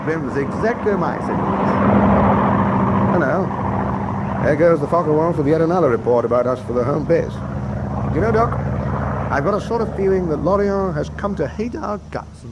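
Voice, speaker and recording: An actor playing a seasoned British warship captain in a radio drama, speaking with dry, jaunty understatement. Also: male voice, fairly high pitch, lively intonation, moderate pace, long pauses, natural voice. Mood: wry. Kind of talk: full-cast radio drama acting. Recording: noisy ship ambience behind voice.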